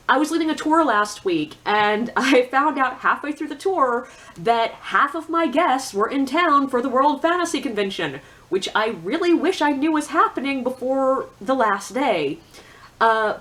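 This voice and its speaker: A woman's voice: high-pitched, fairly bright tone. A woman giving a casual, opinionated book review in a blunt, sardonic, conversational way with emphatic asides.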